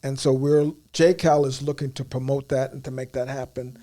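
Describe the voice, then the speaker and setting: A man's voice: medium pitch, level tone, normal speed, warm tone. A man co-hosting a studio talk show, asking questions with many hesitant fillers. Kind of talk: casual studio interview.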